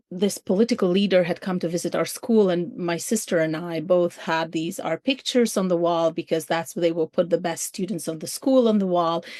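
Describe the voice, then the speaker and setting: Low-voiced woman with an Albanian accent. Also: a little mellow, no echo, studio quality. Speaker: podcast guest, ministry field coordinator. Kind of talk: conversational personal storytelling in an interview.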